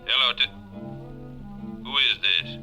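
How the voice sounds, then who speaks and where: A man's voice: mid-range voice, takes it slowly, close to an everyday voice. Man playing a needling, suspicious tough guy, music underneath. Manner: needling, sardonic. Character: smooth, suspicious male tough on the telephone. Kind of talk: 1940s radio drama acting. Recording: vintage radio drama, music underneath.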